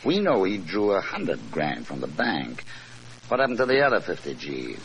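Suspicious gangster on the run: curt, slangy, low-pitched, animated, vintage radio crime drama. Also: male voice, moderate pace, exaggerated voice. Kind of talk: 1940s radio acting. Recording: noisy old radio recording.

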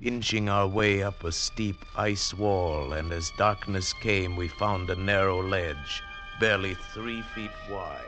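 An actor playing a haunted, ragged Andean mountain guide in a 1940s radio drama, speaking solemnly and formally with halting phrasing. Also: male voice, deep voice, fairly bright tone, faint music behind. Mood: grave, remembering. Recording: noisy old radio recording.

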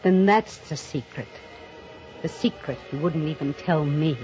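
Slow-spoken, haunted murderess novelist. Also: female voice, deep voice. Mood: tense realization. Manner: slow, deliberate, dramatic delivery. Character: woman mystery novelist confessing murders, fatalistic and haunted. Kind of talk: 1940s radio drama acting. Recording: dull old radio recording with music.

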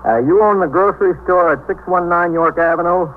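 Man playing desk sergeant, American, fairly high male voice, polite, reassuring, plain-spoken, old radio drama, phone-like band. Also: brisk, close to an everyday voice. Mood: patient, reassuring. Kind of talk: 1950s radio police drama acting. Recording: narrow-band 1950s radio recording, faint noise.

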